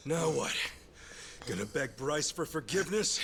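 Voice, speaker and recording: An actor playing a cocky, streetwise fighter hero in a video game, speaking in a blunt, challenging, skeptical way. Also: male voice, a little high, expressive, measured pace, vocal fry, a bit bright, a little hiss or hum, heavily acted. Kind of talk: video game cutscene acting. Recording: music behind the voice.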